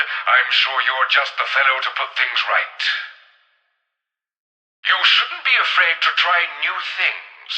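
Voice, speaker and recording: Pompous, piercingly bright gentleman officer. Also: a little high, echoey room, heavily acted, poor audio. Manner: pompous, flowery, archly cheerful. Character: jaunty, patronising old-fashioned gentleman officer in sci-fi game. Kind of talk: theatrical video game voice lines.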